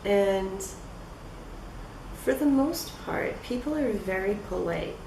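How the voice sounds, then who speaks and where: A woman from the United States, an English teacher giving measured interview answers. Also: medium pitch, lively intonation, gravelly. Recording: echoey, distant, noisy.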